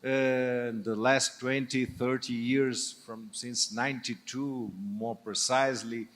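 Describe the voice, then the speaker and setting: Brief: older male panel guest, Portuguese accent, slow and hesitant, stumbles and restarts, mid-pitched voice. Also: level tone. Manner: halting, hesitant, frequent restarts. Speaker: business leader guest. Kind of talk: panel discussion on stage.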